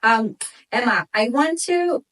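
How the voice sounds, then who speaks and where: A woman's voice: a little high, lively intonation, slow, a bit bright, recorded from a distance. A woman hosting a casual interview, effusive and encouraging as she puts questions to her guest. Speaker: interview host.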